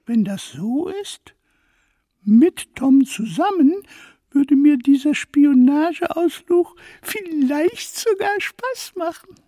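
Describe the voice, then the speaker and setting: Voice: high-pitched, expressive, slow pace, dark tone, dry recording, clean studio sound. An actor voicing a timid, vain, mildly spooky ghost in a children's audio play, fussy and dramatically reluctant.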